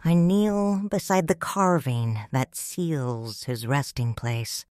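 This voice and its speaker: Woman narrator in her middle years, low and unhurried, reading a story in first person as a grieving mother; dry, close studio sound. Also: smooth, no echo. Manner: slow, soft, tender and reflective. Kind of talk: scripted audiobook narration.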